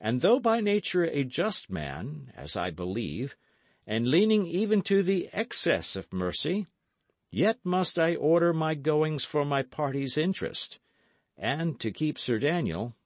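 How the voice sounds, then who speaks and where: Man playing a stately, slow-spoken stern earl. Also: a little high, very animated, no room sound, pitched above the performer's own voice. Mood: measured, approving. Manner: formal, weighty, deliberate archaic speech. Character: stately, stern but fair-minded English earl.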